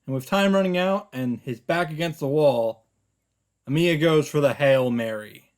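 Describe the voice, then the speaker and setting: A man reading visual novel text aloud and adding his own animated reactions and summary commentary. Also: fairly high pitch, normal speed. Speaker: visual novel streamer and narrator.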